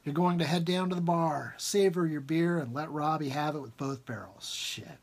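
A man in his forties with a husky voice reads his own fiction aloud informally and expressively, with emphatic rhythm and dramatic pauses, at a desk in a room at home. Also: fairly high pitch. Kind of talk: expressive literary reading, informal. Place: small book-lined room at home, indoors.

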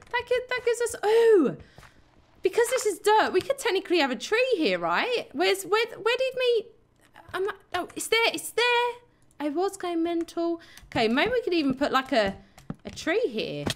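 Woman gamer, young adult, hoarse, high and bouncy pitch, giggly self-talk commentary. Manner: chatty, giggly, talks to herself and the viewers. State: hoarse, losing her voice. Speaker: game channel host. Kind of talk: casual Minecraft let's play commentary.

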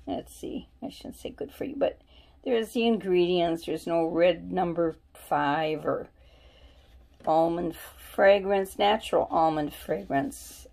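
Slow, gravelly-voiced woman chatting. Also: on the low side, darkish tone. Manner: chatty, musing aloud while reading labels. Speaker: vlogger. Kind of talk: casual shopping haul show-and-tell. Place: at home, indoors.

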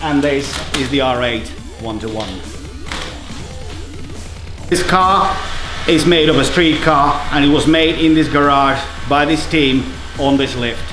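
A man's voice: medium pitch, a little slow, echoey room, over music, rough recording. A man from Finland with a Finnish accent, speaking English as a second language, presenting a racing team as its engineer in a garage. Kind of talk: scripted team introduction to camera.

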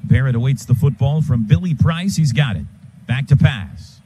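An American man calling live football on the radio as play-by-play announcer, in clipped phrases that build toward excited calls. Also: mid-range voice, normal speed. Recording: stadium booth with loud crowd noise.

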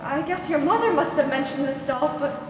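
A woman acts a kindly but no-nonsense aunt in old radio drama style. Her voice is very high. It echoes heavily across a noisy stage, picked up at a distance. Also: expressive, moderate pace, over music. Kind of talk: 1940s radio drama acting. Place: school theater stage. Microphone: stand microphone, masked performer.

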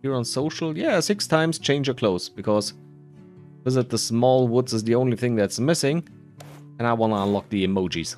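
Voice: mid-range voice, animated, normal speed, darkish tone, faint music behind. A gaming creator giving casual playthrough commentary, rambling and muttering while thinking aloud.

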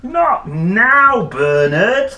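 A man puts on a distracted picture-book parent who has no time for the child. The delivery is curt and exasperated, jumping up in pitch. The room rings slightly around it. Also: sing-song, very slow, pushed and bright, heavily acted. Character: dismissive, distracted parents brushing off their child. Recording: roomy sound with faint noise.

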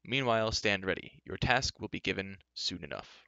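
A man voicing a grave, gentle older warrior mentor in a fantasy audiobook, speaking softly and with measured solemnity. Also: sits low, lively intonation, creaky, dry recording, lo-fi sound, full character voice.

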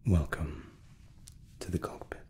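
A man in his thirties whispering softly and courteously as a flight attendant in an ASMR roleplay, close to the microphone. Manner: soft, slow, courteous attentive steward.

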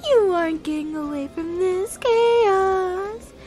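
A woman's voice: very high-pitched, takes it slowly, a little mellow, faint music behind. A woman voicing a vicious fairy dog in a horror audio drama, childishly eager and mocking.

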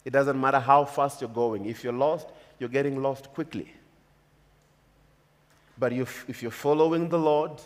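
A man in his thirties with a Kenyan accent, preaching a sermon from a church pulpit in an emphatic, repetitive cadence. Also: medium pitch. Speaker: pastor. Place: church stage with large hall. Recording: long reverb of a church hall.

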